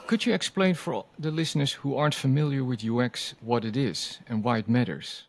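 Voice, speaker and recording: A man's voice: mid-range voice, fairly quick, a little hiss or hum. A man hosting a podcast, politely asking prepared interview questions. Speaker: podcast host.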